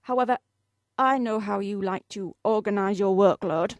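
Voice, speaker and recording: A woman's voice: mid-range voice, moderate pace, dry recording, clean studio sound, lightly acted. A woman playing a polite, breezily demanding office boss in a radio play, speaking in a formal, officious way. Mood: politely matter-of-fact.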